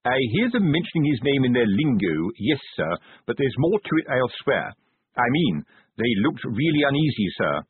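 A man voicing a slow, stolid, earnest male sergeant who uses rough working-class grammar. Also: mid-range voice, big pitch swings, dry recording, voice pushed up. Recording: thin phone-like dry recording.

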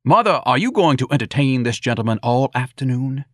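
A man's voice: medium pitch, lively intonation, brisk, no echo, pitched above the performer's own voice, natural voice. Audiobook role: pompous, disdainful adult son, played by a male narrator, over-formal, swooping intonation, close dry sound. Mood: haughty indignation. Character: pompous, indignant grown son, theatrically disdainful.

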